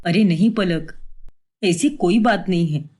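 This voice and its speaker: A woman's voice: lowish voice, measured pace, darkish tone, voice pushed down. A woman voicing a grumbling, scheming husband in a cartoon story, complaining in a matey way. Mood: pleading. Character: grumbling, scheming husbands fed up with home cooking.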